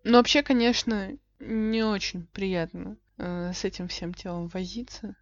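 A woman's voice: medium pitch, steady tone, measured pace. Young woman in a lo-fi podcast chat. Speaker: podcast co-host. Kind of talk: casual chat. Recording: dull lo-fi podcast recording.

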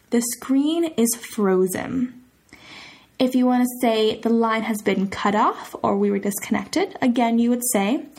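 A woman's voice: on the high side, slightly rough. A woman hosting a language lesson podcast in an informal, chatty way, sounding out example phrases carefully. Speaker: language podcast host teaching Italian phrases. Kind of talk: casual phrase lesson, conversational.

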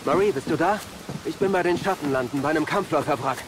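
An actor playing a guarded young Jedi fugitive in a game audio drama, terse and earnest. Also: male voice, fairly high pitch, fast talker, noisy background, natural voice. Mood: earnest, concerned. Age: young adult. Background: music.

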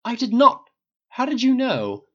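A man's voice: very high-pitched, wildly swooping pitch, measured pace, bright, forceful tone, lo-fi sound, voice pushed up, heavily acted. A man voicing a distraught, defensive young male wizard, agitated and volatile, in a dramatic story reading. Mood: angry, defensive. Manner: pleading, agitated, stammering under pressure.

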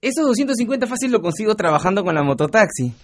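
An actor with a Peruvian accent playing a carefree, naive young mototaxi driver in a radio drama. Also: male voice, high-pitched, big pitch swings, fast talker. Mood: excited, carefree. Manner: breezy, casual.

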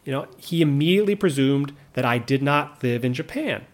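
A man in his thirties speaks in a measured, earnest way. His pitch and loudness sit mid-range for a man. He talks reflectively about his own life, often restarting a phrase or adding an aside. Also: expressive. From United States. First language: English. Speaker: vlogger living abroad. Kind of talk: reflective personal monologue.

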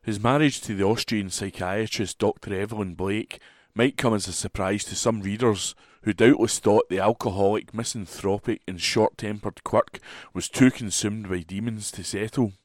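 Lowish-voiced man reading, dry sound. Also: fairly even intonation, normal speed, no room sound. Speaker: volunteer newspaper reader. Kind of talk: reading a book review aloud. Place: at home.

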